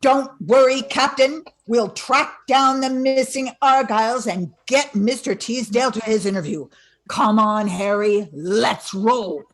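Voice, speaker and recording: A woman's voice: on the high side, measured pace. A woman playing a confident, can-do detective in a radio play, speaking in an assured, businesslike way. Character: confident, brisk, can-do female detective agent.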